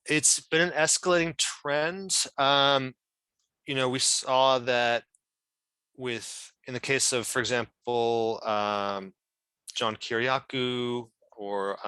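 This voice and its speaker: A man's voice: mid-range voice, bright, forceful tone, clear, resonant tone, dry recording. A man explaining things as a guest at an online meeting, thoughtful and often searching for words. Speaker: member of a whistleblower's legal team, guest speaker.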